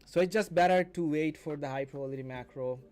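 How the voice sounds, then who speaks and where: A man's voice: on the high side, quick pace, darkish tone, faint music behind. A man in his twenties hosting a casual live stream as a mentor, teaching and chatting to viewers, repeating and rephrasing as he goes. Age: 20s. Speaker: day trading mentor and live stream host.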